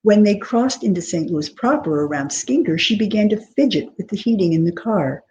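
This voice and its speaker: Mellow woman reading literary fiction aloud. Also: mid-range voice, lively intonation, normal speed, lo-fi sound. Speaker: author reading from their novel. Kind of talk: literary reading, scripted narration. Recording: clear background noise.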